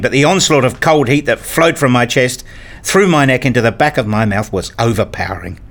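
A man's voice: medium pitch, fairly bright tone, lightly acted. A man playing a weary, hurt father who narrates his own story in a radio play. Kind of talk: first-person radio play narration and acting.

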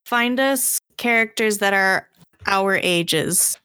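A woman's voice: medium pitch, slow pace, pushed and bright, clean tone, dry recording, studio quality. A woman in her twenties on a podcast, making wry, joking remarks. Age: 20s. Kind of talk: casual podcast chat.

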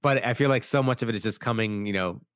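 A man's voice: on the low side, fast, a bit bright, clean tone, no echo, clean studio sound. A young man hosting a casual chat, rambling with lots of fillers, his voice a little worn after being sick. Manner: rambling, many "like" fillers, acts out quoted lines. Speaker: podcast host.

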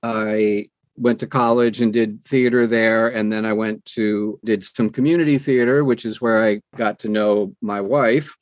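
A middle-aged or older American man telling personal stories in an animated, conversational way as an interview guest. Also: fairly low pitch, a little slow, few pauses, no room sound. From United States, New Jersey. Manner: animated, acts out quoted dialogue. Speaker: interview guest, audiobook narrator. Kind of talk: conversational storytelling.

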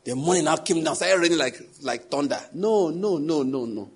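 A man's voice: fairly high pitch, animated, normal speed, slightly rough. A young adult man preaching a sermon, emphatic, repeating key phrases and putting questions to the congregation. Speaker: pastor.

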